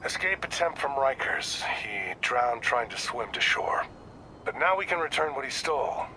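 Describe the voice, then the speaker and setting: A man's voice: mid-range voice, very animated, normal speed, sharp tone, slight background noise, low-quality recording. A man playing a weary, earnest older police detective nearing retirement in a video game, explaining things in a matter-of-fact way. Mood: businesslike. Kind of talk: video game voice acting, conversational.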